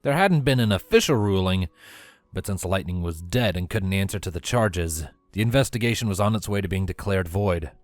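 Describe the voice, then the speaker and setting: Low-voiced man narrating a story. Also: a little mellow, dry recording. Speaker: narrator of fan fiction.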